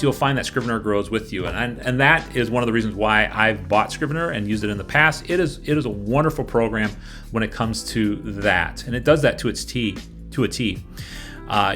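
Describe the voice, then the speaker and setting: A man's voice: medium pitch, a bit bright. A man with a mid-range voice gives an informal product walkthrough. He explains things step by step and talks directly to the viewer, with music playing behind him. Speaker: presenter of a software review video. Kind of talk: casual product walkthrough over screen capture. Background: background music.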